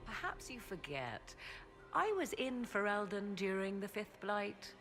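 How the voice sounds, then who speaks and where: A woman's voice: medium pitch, sing-song, a little slow. A woman playing a haughty, learned, enigmatic sorceress in a fantasy game, speaking in formal, archaic diction. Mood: haughty, cool. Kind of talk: dramatic fantasy game voice acting. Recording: loud game music behind the voice. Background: game soundtrack music.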